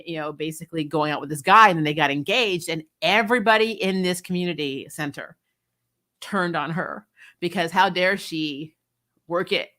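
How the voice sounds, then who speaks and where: Bright-voiced woman, blunt casual reviewer. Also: fairly low pitch. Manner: animated, blunt, quotes others in acted asides. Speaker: host of a TV episode review show. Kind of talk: casual unscripted review chat with viewer comments.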